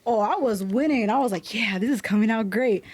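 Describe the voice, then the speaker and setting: A woman's voice: a little high, fairly quick. A woman tattoo artist, a podcast guest, telling stories against herself in a laughing, profane, casual way. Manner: laughing, self-mocking storytelling with swearing.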